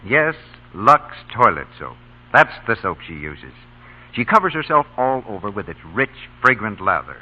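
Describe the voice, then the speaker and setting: Male vintage radio commercial announcer, lively intonation, tinny band-limited recording. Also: fairly low pitch, normal speed. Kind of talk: 1930s-40s scripted radio announcing and commercial.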